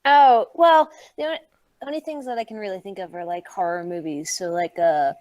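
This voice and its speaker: A woman's voice: medium pitch, fairly quick, a little mellow, no room sound, lo-fi sound. A woman talking casually as a panelist about her favourite films and quotes, informal and full of fan enthusiasm. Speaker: panelist in a group chat.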